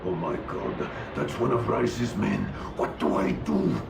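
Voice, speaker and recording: A timid villager in a game scene, with a very gravelly voice. He pleads and stammers nervously, echoing in a room over clear background noise. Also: low-pitched, lively intonation, a little slow, full character voice. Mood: fearful. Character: frightened, timid male villager hiding indoors. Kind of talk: video game cutscene acting.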